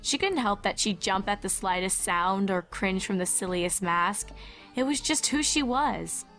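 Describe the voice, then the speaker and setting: Woman narrating a story over soft music. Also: medium pitch, expressive, unhurried.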